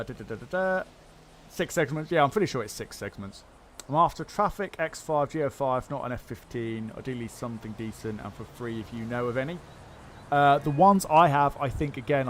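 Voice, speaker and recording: Man streaming a game, mid-pitched, rambles through viewer questions with a faint hiss underneath. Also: a little fast. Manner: rambling, many fillers and restarts. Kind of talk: casual livestream chat, answering viewer questions.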